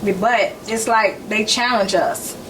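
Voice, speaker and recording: A woman's voice: medium pitch, slow. Woman in her forties, distant and noisy. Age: 40s. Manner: relaxed, conversational, speaks in short phrases. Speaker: podcast guest, caterer. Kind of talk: casual chat.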